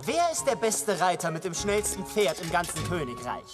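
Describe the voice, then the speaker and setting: A man's voice: sits high, very animated, normal speed. A man voicing a vain, pompous young prince in a dubbed cartoon, talking in a self-admiring way over score music. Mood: smug. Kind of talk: cartoon dubbing.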